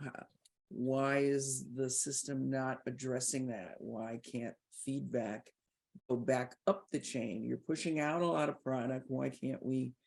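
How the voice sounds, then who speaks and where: A woman with a deep voice and a marked creak speaks as a podcast host. She is articulate and emphatic, making her points conversationally and often through rhetorical questions. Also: fairly even intonation, measured pace, darkish tone. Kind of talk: conversational interview commentary.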